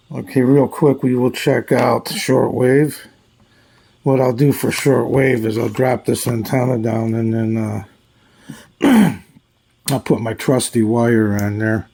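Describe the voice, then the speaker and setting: A man giving a casual, low-key hands-on product demo, haltingly thinking aloud as he goes. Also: fairly even intonation, vocal fry, mellow. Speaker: radio review video host. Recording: noisy, with radio static and stations. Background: radio playing shortwave and broadcast stations.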